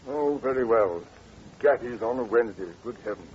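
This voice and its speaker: An actor playing a dim, flustered older upper-class English duke who stammers and repeats himself, in vintage radio drama style. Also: male voice, mid-range voice, normal speed, slight background noise, natural voice. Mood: grumbling. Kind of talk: 1940s radio acting. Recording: tinny, band-limited old radio recording.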